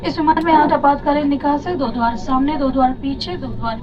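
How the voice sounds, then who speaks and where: Heard from off through a muffled aircraft PA speaker, a woman with an Indian accent speaks in a high voice. She is a cabin supervisor delivering a formal, scripted announcement to passengers. The sound is distant and lacking in detail. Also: a little fast, over music.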